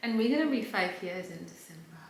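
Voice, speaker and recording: A young woman with a South African accent chatting casually with her partner, lively and expressive. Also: on the low side, fairly quick, reverberant, slight background noise. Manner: lively and expressive, short agreeing replies. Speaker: co-host of a couple's channel. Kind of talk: casual couple chat, storytime.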